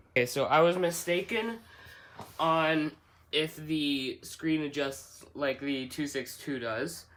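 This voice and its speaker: A man doing an unscripted product walkthrough, halting as he thinks aloud step by step. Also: medium pitch, a bit bright. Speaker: hobbyist product reviewer. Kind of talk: unscripted unboxing and setup walkthrough.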